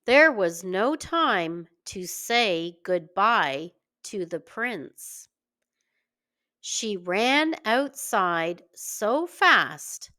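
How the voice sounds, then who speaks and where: A woman reading a scripted story aloud for young children in a deliberate, expressive way. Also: medium pitch. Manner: slow, deliberate, expressive delivery. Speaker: children's story reader and teacher.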